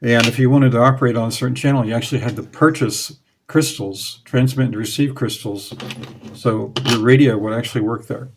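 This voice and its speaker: A man's voice: medium pitch, fairly even intonation, a little fast, breathy edge. A man in his forties, hosting his own hobby channel, talks casually to the camera in a small room through a lapel mic, plain-spoken and nostalgic, with a few fillers. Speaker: radio hobby channel host. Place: small room indoors in front of curtains. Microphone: clip-on lapel mic.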